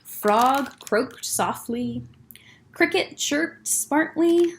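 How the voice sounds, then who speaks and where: A children's librarian reads a picture book aloud, slow and gentle, stopping to point out things in the pictures. Her fairly high voice is lively, swooping up and down with expression. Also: noisy background.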